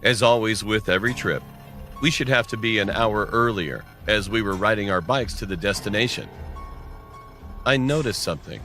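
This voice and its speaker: A male narrator reads a scripted horror story in the first person. His pitch sits a little low but moves in a very lively way. Faint music plays behind him.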